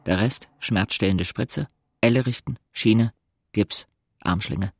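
A man's voice: fairly low pitch, takes it slowly. A man acting as a brooding, wry teenage boy who narrates his inner thoughts tersely in a radio drama. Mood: brooding, intense. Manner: introspective, terse. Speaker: first-person narrator.

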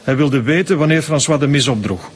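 An actor with a Flemish accent playing a prim, devout church sexton in a radio drama, formal, evasive and curt. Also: male voice, mid-range voice, a little fast, breathy edge, lightly acted. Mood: guarded.

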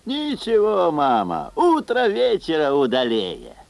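A man's voice: a little high, huge pitch swings, slow pace, creaky, no echo, exaggerated voice. A man playing a cheerful, cocky young peasant lad with simple, folksy comic delivery in a fairy-tale audio play. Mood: reassuring, matter-of-fact.

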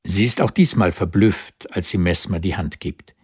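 A man's voice: low-pitched, normal speed, slightly rough, dry recording, studio quality. A man reading literary narration as the narrator of a German radio drama.

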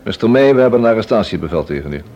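A man playing a firm, formal police inspector in a Dutch radio drama, speaking in a measured, matter-of-fact official way. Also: on the low side, animated, warm tone, lightly acted. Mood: stern, official. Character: businesslike male police inspector making an arrest, firm and formal. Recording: dull, lo-fi radio drama recording.

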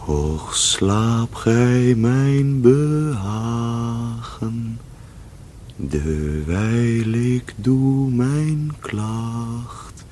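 A slow, mournful night-wandering poet. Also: male voice, medium pitch, lively intonation, warm tone, a little hiss or hum. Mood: lovelorn. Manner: measured, mournful verse delivery. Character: lonely lovesick young male poet wandering at night. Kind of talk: 1970s radio drama verse recitation.

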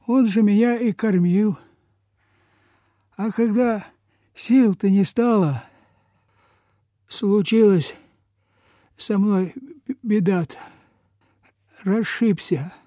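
An actor playing a frail, humble old peasant beggar in a Soviet radio play, halting, deferential and folksy. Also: male voice, high-pitched, warm tone, dry recording, studio quality. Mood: weak, pleading. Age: older.

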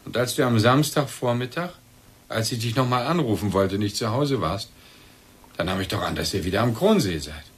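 An actor playing a bereaved, agitated husband in a German radio play, probing and insinuating in halting, pointed phrases. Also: male voice, on the low side, expressive, lightly acted. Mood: probing, suspicious. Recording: roomy, echoing radio drama recording.

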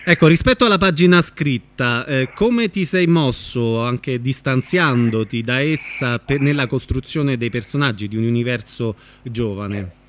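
A man's voice: mid-range voice, measured pace. A man with an Italian accent hosting a radio show, interviewing guests in a conversational way. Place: radio programme.

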